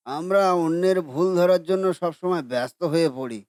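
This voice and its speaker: A man voicing a regretful middle-aged father in a cartoon, speaking in a measured, reflective way. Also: a little high, darkish tone, clean tone, no room sound, clean studio sound, close to an everyday voice.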